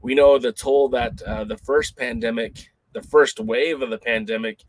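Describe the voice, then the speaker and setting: A man's voice: medium pitch, fairly even intonation, normal speed, a little hiss or hum, rough recording. A man hosting a casual talk show, rambling and conversational with lots of ums and uhs. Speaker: podcast host.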